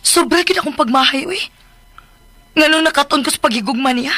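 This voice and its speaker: A woman's voice: sits high, a little fast, pushed and bright, dry recording, faint music behind. A woman acting in a radio drama as a weary, regretful young mother, with an emotive, sighing delivery. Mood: bitter regret.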